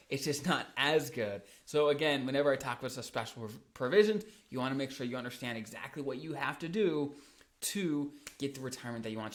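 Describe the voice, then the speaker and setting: Upbeat man explaining retirement rules. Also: medium pitch. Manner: upbeat, energetic, with frequent restarts and emphatic peaks. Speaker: financial advisor hosting a video channel. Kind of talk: casual explainer video.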